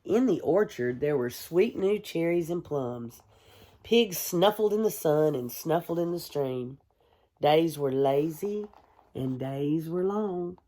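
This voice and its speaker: A woman, a kindergarten teacher, reading a storybook aloud to young children in a gentle, expressive way. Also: sits low. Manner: gentle, hushed, expressive storytelling with deliberate pauses; addresses young listeners with simple questions.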